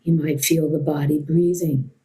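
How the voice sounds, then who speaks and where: A woman teaching a guided meditation in a gentle, unhurried way with long pauses. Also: sits low, fairly even intonation, low-quality recording.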